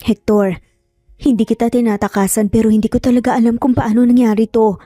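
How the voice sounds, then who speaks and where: A woman's voice: on the high side, level tone, brisk, dark tone, no room sound, clean studio sound, soft background music, lightly acted. A woman voicing an anxious, bewildered, tender young mother in a dramatic audio story. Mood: distressed confusion.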